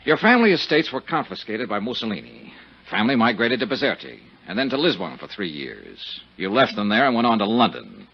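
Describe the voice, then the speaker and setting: An actor playing a tough, wisecracking investigator in 1950s radio detective drama, blunt and sardonic, with a husky voice. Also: male voice, lowish voice, wildly swooping pitch, fairly quick, exaggerated voice. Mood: pressing, sardonic. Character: tough, brash, wisecracking American male investigator. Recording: dull 1950s radio broadcast recording.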